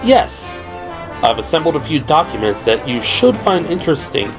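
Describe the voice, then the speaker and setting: A man playing a suave, mysterious man in his forties with a low, musical voice and an unhurried, courtly, cryptic way of talking in an audio drama. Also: big pitch swings, over music. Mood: amused, courteous. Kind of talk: audio drama character dialogue.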